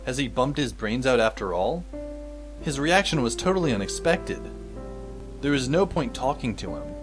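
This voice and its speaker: A man's voice: medium pitch, natural voice. A man playing a wry, exasperated teenage schoolboy who narrates his story in a dry, sardonic way. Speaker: narrator and lead actor in a fan audio drama. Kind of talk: scripted first-person narration.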